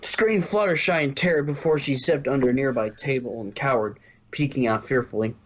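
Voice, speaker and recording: A man's voice: mid-range voice, fairly quick. Man narrating a story, slightly muffled. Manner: amateur, loose, stumbles over words. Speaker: narrator of fan fiction reading.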